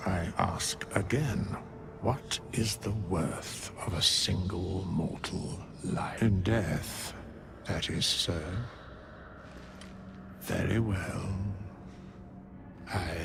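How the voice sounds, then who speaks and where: A man playing an ancient undead figure in a game, solemn and cryptic, with grave, archaic, deliberate speech. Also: low voice, gravelly, noisy background, full character voice. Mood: solemn, probing. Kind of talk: scripted game cutscene acting.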